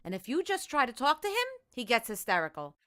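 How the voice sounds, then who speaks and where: A woman's voice: on the high side, sing-song, quick pace, fairly bright tone, no echo, clean studio sound. A woman playing an exasperated housewife and mother in a 1950s-style radio sitcom, fretful and scolding. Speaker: radio play actor.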